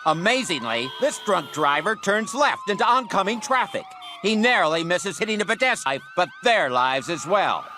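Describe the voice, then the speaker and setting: Dramatic cop chase tv narrator, high swooping voice, loud sirens all over it. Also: male voice, big pitch swings, normal speed, hardly any pauses, noisy background. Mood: dramatic, urgent. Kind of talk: sensational reality TV narration. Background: police sirens.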